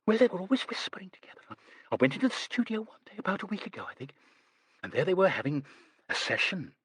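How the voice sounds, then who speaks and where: A man voicing a nervous, gossipy young woman who squeaks, stammers and rushes her words. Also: big pitch swings, sharp tone, breathy edge, dry recording, heavily acted. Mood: excited gossip. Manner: breathless, stammering, rushing.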